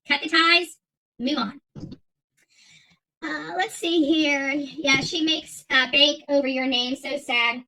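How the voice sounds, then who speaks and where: A woman's voice: sits high, fairly even intonation, slow pace, pauses often, pushed and bright, a little hiss or hum, low-quality recording. A middle-aged woman giving an unscripted livestream rant as channel host, talking emphatically and combatively and repeating her phrases. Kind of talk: unscripted livestream rant, reading and answering viewer chat.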